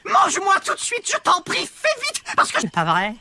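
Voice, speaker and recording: Excitable wisecracking meerkat, wildly swooping. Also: male voice, very high voice, moderate pace, raised voice, heavily acted. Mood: excited. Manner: theatrical, exclamatory, wisecracking. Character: fast-talking, excitable, self-pitying male meerkat dreamer. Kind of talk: animated film dialogue. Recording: music behind the voice.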